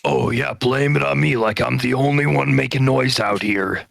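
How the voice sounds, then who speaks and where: A man's voice: mid-range voice, normal speed, vocal fry, a bit bright, no echo. A man playing a tabletop game, grumbling and defensive with his party. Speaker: player. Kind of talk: casual tabletop play.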